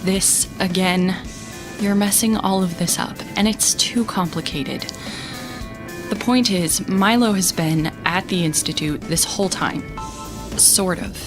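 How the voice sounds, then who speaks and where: A woman's voice: fairly low pitch, measured pace, over music, natural voice. A woman playing an exasperated young space captain who narrates her story in a sarcastic, conversational way for an audio drama. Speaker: narrator and lead character.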